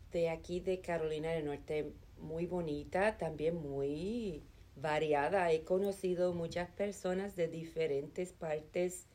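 A middle-aged woman from Chicago, answering interview questions calmly and earnestly, with hesitant fillers. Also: low-pitched. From Chicago, United States. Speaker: interviewee, nonprofit administrative assistant.

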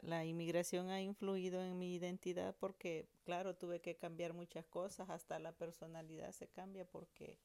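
Woman, middle-aged, lower register, smooth clear voice, dry close sound, hesitant storyteller. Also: dark tone, dry recording. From El Salvador. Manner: thoughtful, with many hesitations and repeated words. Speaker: interviewee, mother. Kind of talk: personal storytelling interview.

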